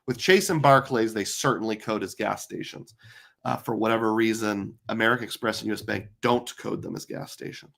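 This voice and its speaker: A middle-aged man with a hoarse, tired voice, hosting a live stream in casual chat, rambling with lots of fillers. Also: on the low side, fairly quick, fairly bright tone. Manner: chatty, rambling with many fillers. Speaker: livestream host.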